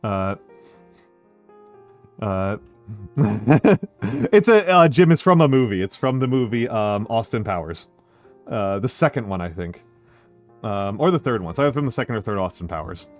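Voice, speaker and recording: A man's voice: on the low side, animated, measured pace, pauses often, a little mellow, faint music behind. An American man chatting casually on a livestream, reading out viewer messages and thanking them, rambling with many fillers and repeated phrases. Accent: American English. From United States. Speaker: streamer.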